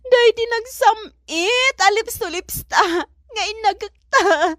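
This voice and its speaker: Shrill, jealous young Filipina lover. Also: female voice, slow, a bit bright, no echo, clean studio sound, exaggerated voice. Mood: tearful insistence. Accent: Ilocano. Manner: whiny, melodramatic. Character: pleading, jealous young woman fighting over her boyfriend. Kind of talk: Ilocano comedy radio drama acting.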